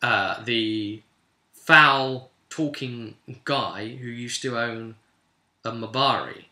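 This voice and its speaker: Sharp, bright-voiced man in an echoey room. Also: mid-range voice, extremely slow. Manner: rambling, emphatic, conversational storyteller. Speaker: game master recapping his tabletop campaign. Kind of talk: informal retelling of a role-playing session, voicing characters' dialogue. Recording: roomy, echoing sound.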